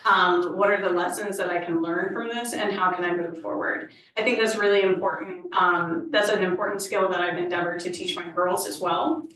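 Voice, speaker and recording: Woman on a panel, lowish voice, talking earnest and thoughtful, far off mic in a big echoey hall. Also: fairly even intonation, moderate pace, hardly any pauses, fairly bright tone. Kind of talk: panel discussion answer. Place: community center hall.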